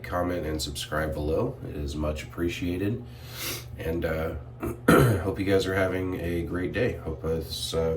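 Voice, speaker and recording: A man reviewing shave soap in a relaxed, rambling way, a bit sniffly and clearing his throat often. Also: low voice, distant mic, a little hiss or hum. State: sniffly from irritated sinuses. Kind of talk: casual product review vlog.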